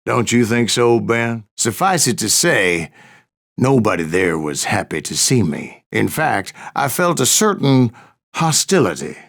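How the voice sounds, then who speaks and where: An actor playing a grizzled old bounty hunter, a boastful, folksy storyteller with a gravelly Western American drawl in a video game. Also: male voice, mid-range voice, big pitch swings, a little slow, a bit bright, heavily acted. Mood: wry, dramatic storytelling. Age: older. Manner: folksy, salty, yarn-spinning. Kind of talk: dramatic first-person video game narration.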